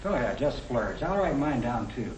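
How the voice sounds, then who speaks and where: Practical young American husband, noisy echoey old film, acted. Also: male voice, mid-range voice, very animated, normal speed, a little mellow, natural voice. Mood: persuading. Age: young adult. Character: young professional husband, practical, matter-of-fact, budget-minded. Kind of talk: 1950 educational film acting.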